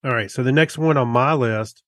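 A man's voice: mid-range voice, moderate pace, no echo. Male co-host, mid-range voice, informal and plain-spoken reviewer, dry recording. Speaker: podcast co-host. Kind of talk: casual chat, informal movie review.

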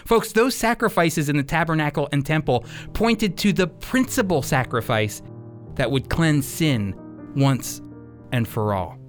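Male, fairly high voice, Bible teacher, emphatic delivery, direct address, sermon style for radio. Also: lively intonation, a little slow, music in the background. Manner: emphatic, addresses listeners directly, repeats phrases for weight.

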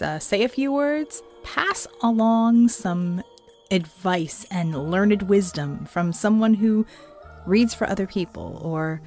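A woman narrator giving informal advice in an expressive, playful manner. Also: medium pitch. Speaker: voice actress and narrator. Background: faint music.

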